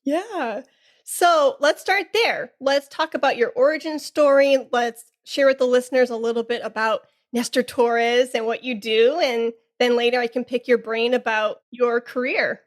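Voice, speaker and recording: A woman hosting a podcast interview, who gushes and thanks her guest effusively. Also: a little high. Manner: effusive, quick to gush and thank. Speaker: podcast host.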